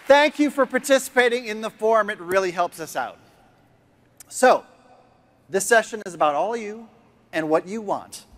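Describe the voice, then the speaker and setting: A middle-aged man speaks with a fairly high, upbeat voice from a big conference stage. He talks in the energetic way of a keynote host and keeps urging the audience on. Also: moderate pace, long pauses. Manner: enthusiastic, rallying the crowd.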